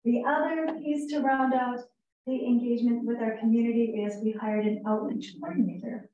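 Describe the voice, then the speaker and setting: A woman with a raspy voice presenting at a co-op's annual meeting, talking in an upbeat, informal way with some ums. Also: fairly high pitch, fairly even intonation, normal speed, dark tone, distant mic, lo-fi sound. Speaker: co-op staff presenter. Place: meeting room. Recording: very echoey room, away from the mic.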